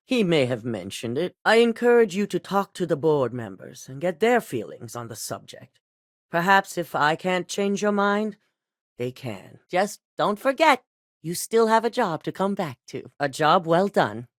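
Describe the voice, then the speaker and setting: A middle-aged actress playing a commanding corporate CEO in a video game, curt and businesslike. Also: female voice, fairly low pitch, dry recording. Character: cool, commanding woman CEO of a megacorporation. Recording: dry studio recording.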